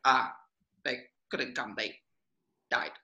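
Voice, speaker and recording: A man's voice: mid-range voice, steady tone, takes it slowly, bright, forceful tone, reverberant, muffled recording, full character voice. A man voicing a gloomy, exasperated squid band leader in a cartoon table read, whiny and melodramatic. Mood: resigned.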